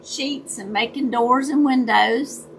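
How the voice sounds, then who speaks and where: A woman with a raspy voice, chatting casually with her viewers during a crafting livestream and greeting them by name. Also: sits high, takes it slowly, slight background noise, rough recording. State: raspy voice, dry throat. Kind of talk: casual chat while crafting.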